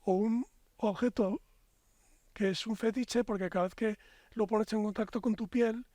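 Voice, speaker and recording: A Spanish man with a fairly high voice who spins long, reflective arguments in a relaxed podcast chat. His voice sits close and dry, with hardly any room sound. He strings his thoughts together in flowing, expansive sentences. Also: a little slow, dry recording. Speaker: podcast guest. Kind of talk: casual podcast conversation.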